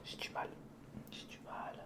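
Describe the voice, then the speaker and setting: A person whispering informal ASMR commentary in short step-by-step remarks while building, speaking directly to the viewer. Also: a little slow. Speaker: ASMR video creator and host.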